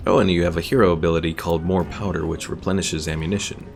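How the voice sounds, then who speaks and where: Deep, gravelly male game streamer. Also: steady tone, fast, darkish tone. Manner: thinks aloud, reads game text out. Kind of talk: casual game commentary. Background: game music.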